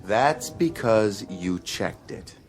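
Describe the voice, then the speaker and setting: An actor playing a gruff, dismissive bus station clerk in a radio drama, curt and condescending. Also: male voice, lowish voice, lively intonation, takes it slowly, faint music behind. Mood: curt, bored.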